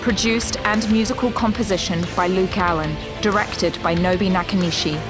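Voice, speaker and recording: A woman narrator giving measured, serious scripted narration for a sci-fi audio drama. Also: mid-range voice, steady tone, over music.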